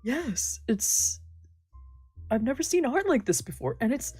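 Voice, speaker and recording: A woman's voice: a little high, very animated, measured pace, mellow, husky, no room sound, faint music behind, voice pushed up, heavily acted. A woman voicing a timid, polite young man with a hesitant, earnest manner in a visual novel read-aloud. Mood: eager, impressed.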